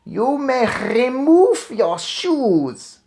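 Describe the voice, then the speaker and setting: Man putting on a shrill, commanding witch queen. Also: sing-song, a little hiss or hum, full character voice. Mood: imperious, menacing. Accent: foreign. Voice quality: harsh, rasping, snarling, guttural. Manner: slow, barking, shouted commands. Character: tyrannical female Grand High Witch, commanding and menacing.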